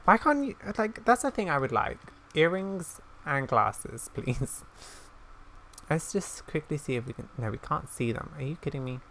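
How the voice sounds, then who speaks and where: A woman's voice: deep voice, sing-song, normal speed, fairly bright tone, a little hiss or hum. A woman hosting a gaming video, giving casual, rambling commentary and reactions as she plays.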